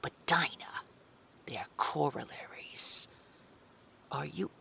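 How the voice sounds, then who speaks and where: Audio drama villain: woman playing a condescending female boss, very low voice, slow, syrupy menace. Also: bright, forceful tone, heavily acted. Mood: probing. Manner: patronising endearments, slow controlled menace, often breathy near-whisper.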